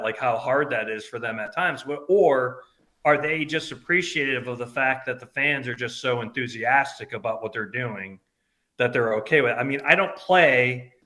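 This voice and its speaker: A man in his fifties from upstate New York, chatting casually as a podcast guest in a loud voice that projects. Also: medium pitch. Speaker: guest, concert fan.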